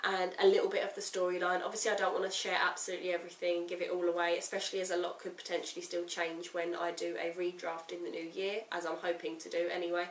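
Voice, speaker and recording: A woman chatting casually to camera in a vlog update, croaky and bunged up with a cold, talking in a rambling way. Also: fairly low pitch, monotone, quick pace, few pauses, recorded from a distance. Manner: rambling, talks straight to the camera. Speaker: writing vlogger. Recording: roomy echo, off-mic, faint hiss.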